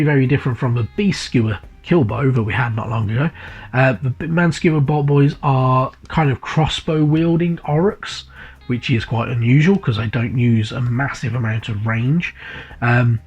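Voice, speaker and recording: A husky, sniffly man with a cold talks loosely and casually, repeating words and filling pauses as he rambles. His voice sits at an ordinary middle pitch, and faint background music plays under it. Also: muffled recording. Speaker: hobby channel host. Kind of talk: casual magazine review. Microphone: voice-over above tabletop camera.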